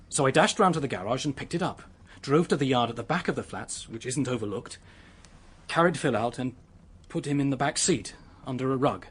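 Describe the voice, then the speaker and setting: An actor playing a distraught young British man in a radio drama, confessing to an accidental killing in a haunted, halting manner. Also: mid-range voice, husky. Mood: grim, desperate.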